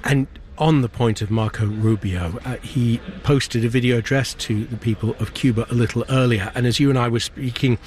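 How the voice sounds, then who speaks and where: A man's voice: medium pitch, moderate pace, few pauses, darkish tone. A man presenting live radio news from a newsroom desk, conversational as he describes and asks questions. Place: small desk in a busy main newsroom. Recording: clear background noise. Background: newsroom ambience.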